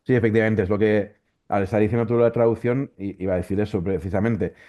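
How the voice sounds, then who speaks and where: Low-voiced Valencian man, dull recording. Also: steady tone, fast talker. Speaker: interview guest, volunteer Wikipedia editor. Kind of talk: conversational explanation in an interview.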